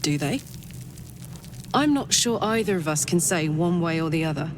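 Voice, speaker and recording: An actress playing a gruff but reasonable companion in a fantasy video game, talking in a casual, blunt way. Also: female voice, sits low, animated, moderate pace, a little hiss or hum. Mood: wary, teasing.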